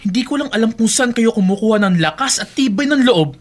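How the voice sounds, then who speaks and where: A man's voice: high-pitched, lively intonation, brisk. A man with a Filipino accent playing a responsible eldest brother and dutiful son in a radio drama, earnest and scolding. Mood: reproachful.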